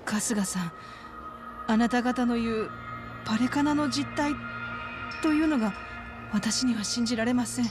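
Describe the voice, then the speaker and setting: Woman acting a troubled, polite middle-aged woman, higher-voiced. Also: over music, lightly acted. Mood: puzzled, disbelieving. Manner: polite, measured, halting. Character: earnest, troubled middle-aged woman caretaker of children at religious facility. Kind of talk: dramatic game cutscene acting.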